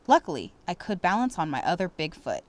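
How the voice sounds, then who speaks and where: A woman reading a children's storybook aloud in an expressive, dramatic way. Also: on the low side, fairly quick. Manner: animated, dramatic. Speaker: storybook reader.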